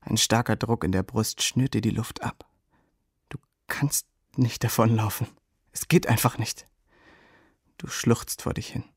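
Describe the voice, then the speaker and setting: Husky, watchful narrating voice. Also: male voice, lowish voice, fairly even intonation, a little slow, long pauses, no room sound. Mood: hushed, intense. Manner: terse, present-tense, observing. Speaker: second-person narrator. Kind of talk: literary radio drama narration. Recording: studio radio play with guitar underneath.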